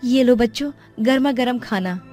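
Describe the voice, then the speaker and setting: A woman's voice: on the high side, moderate pace, warm tone, voice pushed up, close to an everyday voice. Lady doing a sad poor devoted mom for a cartoon, pleading, raised voice. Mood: tender. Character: poor, long-suffering, loving mother. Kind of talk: cartoon voice acting. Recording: music under the voice.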